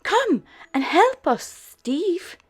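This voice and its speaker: A woman's voice: very high-pitched, very animated, very slow, voice pushed up, full character voice. A woman voicing an eager, cheerful young male puppy from a children's storybook. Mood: friendly. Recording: music behind the voice.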